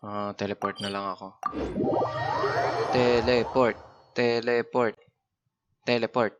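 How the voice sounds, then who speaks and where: A man's voice: mid-range voice, flat delivery, unhurried, dull audio, noisy background. A man with a Filipino accent giving casual commentary while playing a video game. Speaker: gaming streamer. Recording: loud game music over voice.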